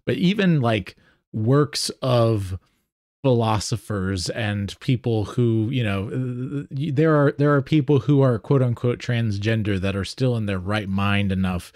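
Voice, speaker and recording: Male panelist, mid-pitched, deliberate and halting, conversational discussion, very dry recording. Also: a little mellow, no echo. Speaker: podcast panelist.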